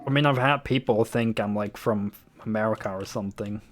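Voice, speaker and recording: A man's voice: lowish voice, a little fast. A young man from the Netherlands with a light Dutch accent, streaming a shooter game and chatting casually with his viewers in a rambling, loose way, swearing now and then. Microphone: desktop USB microphone.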